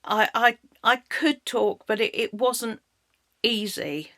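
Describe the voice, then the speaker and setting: A middle-aged British woman with a slightly croaky voice, telling her own story in a halting way as she searches for words. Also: fairly high pitch, expressive, sharp tone, no room sound, studio quality. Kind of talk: personal storytelling monologue.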